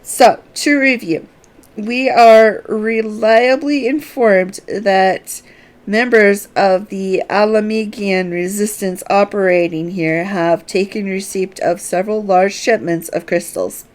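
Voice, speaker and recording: A woman voicing a formal, scholarly strategist from a fantasy game, speaking in a measured, articulate way. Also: mid-range voice, steady tone, lightly acted. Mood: businesslike.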